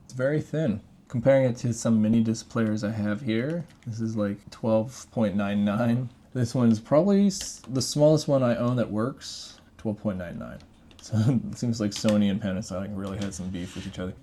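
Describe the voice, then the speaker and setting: A man's voice: on the low side, normal speed, warm tone. A man chatting casually as he gives a hands-on gadget review. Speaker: product reviewer.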